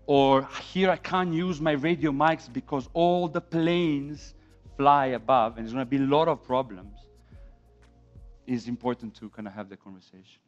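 Serbian guy giving a casual conference talk, emphatic, kinda dull lo-fi sound, faint music. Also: mid-range voice. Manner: animated, emphatic, rhetorical questions. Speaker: conference speaker on film sound. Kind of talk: informal presentation talk. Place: dim indoor conference room, on stage. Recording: dull lo-fi event recording with music.

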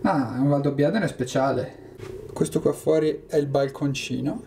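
A man's voice: a little high, normal speed, mellow, a little hiss or hum. A young man talking to a handheld camera as a travel vlogger, chatty and upbeat, speaking directly to his viewers. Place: mountain outdoors and hotel room. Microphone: handheld camera at arm's length.

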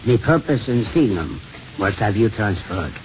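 An actor playing a stubborn, sulky elderly Irish priest in 1950s radio style, halting and repeating his words. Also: lowish voice, sing-song, a little mellow. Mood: stiff, wounded. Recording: muffled, noisy 1950s radio broadcast.

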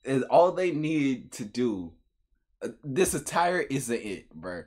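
A young man with a husky voice giving a casual, slangy, incredulous reaction commentary in a room at home. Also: mid-range voice, big pitch swings, takes it slowly, darkish tone. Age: young adult. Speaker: reaction video host. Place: small room at home, indoors.

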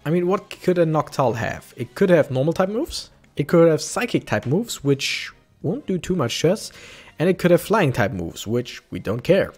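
Lively man commentating a game. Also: a little high. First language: German. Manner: chatty, animated, self-correcting. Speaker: let's play streamer. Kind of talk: casual gameplay commentary.

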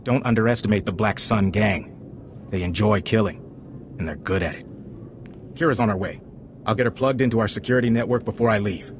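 A man acting as a capable, serious intelligence agent in a video game. His voice is fairly low and official, with faint ambience behind it. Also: brisk, pauses often, background noise, lightly acted. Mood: briefing. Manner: crisp, official briefing.

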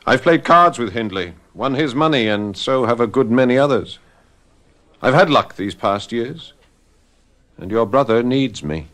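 An actor playing a brooding, proud, vengeful gentleman in a radio drama. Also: mid-range voice, lively intonation, a little slow.

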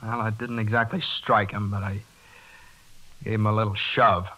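An actor playing a hot-headed but good-hearted young working man in a 1940s radio drama, halting and stammering as he talks. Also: lowish voice, level tone, very noisy, close to an everyday voice. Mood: sheepish, evasive. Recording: 1940s radio broadcast with loud music.

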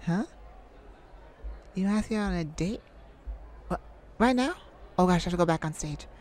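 A woman's voice: lowish voice, lively intonation, moderate pace, darkish tone, pitched below the performer's own voice, lightly acted. A woman voicing a bashful, flirty male lounge singer in a coy, intimate ASMR roleplay. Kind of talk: ASMR roleplay, intimate addressed-to-listener acting.

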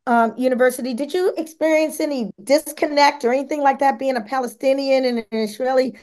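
A woman's voice: sits high, fairly even intonation, a little fast, darkish tone. A woman radio host asking a guest questions over a video call, informal and loose, with fillers. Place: indoor home office. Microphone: video call webcam.

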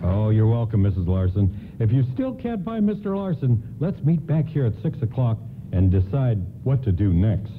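A man's voice: medium pitch, big pitch swings, normal speed, few pauses, close to an everyday voice. An actor playing a courteous, reassuring department store doorman in a radio play. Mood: helpful. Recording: thin phone-like band, noisy radio broadcast.

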